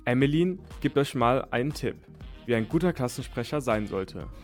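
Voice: medium pitch, expressive, moderate pace. A radio presenter reading scripted narration in German for a report. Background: music.

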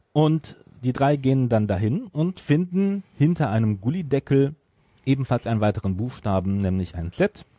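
A man's voice: mid-range voice, mellow, no room sound, clean studio sound. A man hosting a podcast, retelling a story from notes and at times searching for words. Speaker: podcast host.

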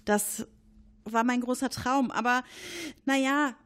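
A woman's voice: on the high side, steady tone, slow, clear, resonant tone. A woman playing a middle-aged colonel who is secretly a woman passing as a man, talking hesitantly and evasively in an improvised roleplay. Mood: confiding. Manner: hesitant, evasive, then confiding in hushed tones. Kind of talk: improvised murder-mystery roleplay.

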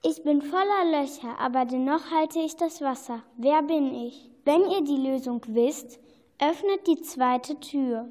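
Woman playing a kindly high-voiced sorceress in reverberant hall. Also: a little slow, darkish tone. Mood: mysterious. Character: kindly female sorceress, encouraging riddle-giver to two prisoners. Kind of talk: children's radio play acting. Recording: long reverb, music behind.

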